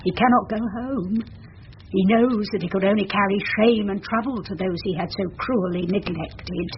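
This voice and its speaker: Actress as motherly woman, gentle, earnest, tender, radio play, muffled old recording, light hiss. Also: mid-range voice, normal speed, close to an everyday voice. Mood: earnest pleading. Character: kindly, motherly, selfless woman pleading for others. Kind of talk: classic radio drama acting.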